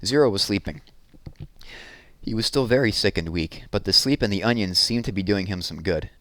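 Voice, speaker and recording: A man's voice: lowish voice, normal speed, fairly bright tone, no room sound. A man reading a novel aloud in a scripted storytelling narration. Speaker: read-aloud reader.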